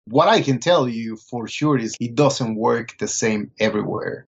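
A man from Colombia, speaking as a guest and telling his story in a relaxed, thoughtful way. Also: mid-range voice, a little slow, creaky, breathy edge, dull audio. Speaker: guest photographer. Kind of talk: casual interview answers.

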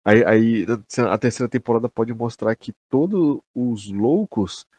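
A Brazilian man with a husky, rough-edged voice at a medium pitch co-hosts a casual podcast. He theorizes in loose slang, talking to his partner as to an old buddy. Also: lively intonation, measured pace, a little mellow, dry recording. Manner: slangy, addresses listener as buddy. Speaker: podcast co-host.

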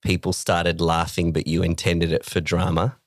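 Inquisitive low-voiced man. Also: level tone, normal speed, no echo, studio quality. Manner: inquisitive, asks probing questions. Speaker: podcast co-host. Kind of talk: casual chat, interviewing.

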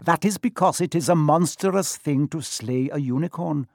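A noble young centaur, acted out by a man, speaks in a high, lifted voice. His words are formal and emphatic, with a solemn, urgent pride that suits a storybook hero. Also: moderate pace, dry recording, clean studio sound. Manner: formal, earnest, emphatic speech. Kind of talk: storybook character voice.

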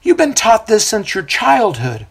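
A storyteller voicing a fiery male desert prophet with a booming, coarse voice who preaches loudly to a crowd. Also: wildly swooping pitch, unhurried, creaky, breathy edge, heavily acted. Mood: stern.

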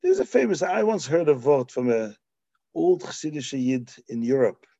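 A man's voice: fairly high pitch, very animated, normal speed, warm tone, dry recording, lo-fi sound. A man raised in Belgium giving a Talmudic lecture, explaining passages with Hebrew and Yiddish terms and frequent restarts. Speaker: Torah teacher.

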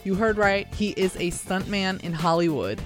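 A woman hosting a podcast, reading her own promo upbeat and clearly before interviewing a guest. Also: medium pitch, moderate pace, faint music behind. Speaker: podcast host.

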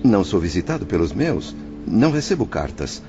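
An actor playing an anxious, ill-tempered former merchant in a radio drama, speaking in pompous, ornate formal phrases. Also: male voice, low-pitched, big pitch swings, a little fast. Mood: anxious, pleading. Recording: muffled band-limited audio with music behind.

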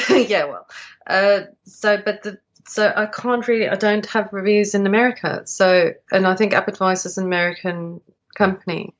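A woman's voice: medium pitch, steady tone, normal speed, highs cut off. A South African woman developing an app, asking for advice in a casual call, hesitant with many fillers and restarts.

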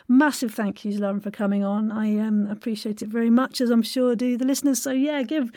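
A woman's voice: fairly high pitch, fairly even intonation, a little fast, warm tone. A woman hosting a podcast, chatting and presenting in an effusive, exuberant and encouraging manner. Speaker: podcast host. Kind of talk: enthusiastic interview chat and presenting.

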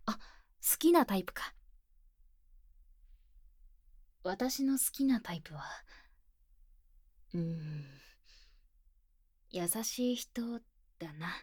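A woman voicing an earnest, bashful high-school girl with stiff, boyish phrasing in an intimate binaural ASMR voice drama, close to the microphone. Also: animated, darkish tone, husky, no echo. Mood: bashful, hesitant. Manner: stiff, boyish phrasing, shy and halting, often dropping to a whisper.

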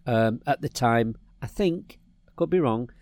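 A middle-aged man from England chats on a podcast and spins a meandering story full of restarts. His voice is warm and mellow. The sound is dry and close. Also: mid-range voice, animated, measured pace, no echo. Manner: rambling storyteller, many restarts. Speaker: podcast co-host. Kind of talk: casual chat.

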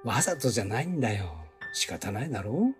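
An actor playing a gentle, apologetic young husband who has died, in an emotional audio drama. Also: male voice, on the low side, very animated, a little fast, over music. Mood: sheepish, soft.

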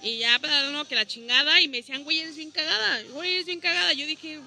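A high-pitched woman with a Mexican accent, raised in Veracruz, tells stand-up stories in a loose, slangy podcast chat. Her tone is very bright and she swears freely as she talks. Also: fast talker, creaky, soft background music. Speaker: stand-up comedian, guest.

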